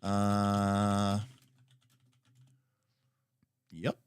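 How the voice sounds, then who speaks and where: A man's voice: fairly low pitch, monotone, extremely slow, smooth, no echo. A man hosting a livestream, chatting casually and unscripted, thinking aloud in a rambling, emphatic, opinionated way as he responds to viewers. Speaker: livestream host. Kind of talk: reading and answering viewer comments.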